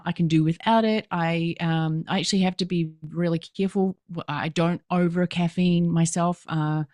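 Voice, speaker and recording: A woman with a New Zealand accent, a business coach talking in a lively, emphatic way as a podcast guest. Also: lowish voice, no room sound. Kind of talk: podcast interview.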